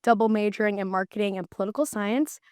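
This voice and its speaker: A woman's voice: mid-range voice, fast, a little mellow, no echo, clean studio sound. A woman in her twenties from Hawaii, introducing herself as a student panelist in a friendly, upbeat way over a video call. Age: 20s.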